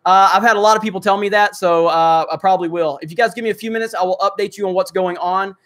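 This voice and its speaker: A man's voice: high voice, fairly even intonation, fast, fairly bright tone. A man hosting a casual livestream, rambling with many fillers as he reads viewer comments and answers them. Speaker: livestream host. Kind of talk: casual livestream chat reading super chats.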